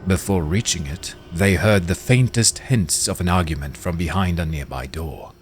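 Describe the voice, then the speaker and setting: Deep-voiced male storyteller. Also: normal speed, faint music behind. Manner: expressive, hushed in tense moments. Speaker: narrator. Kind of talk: scripted storybook narration.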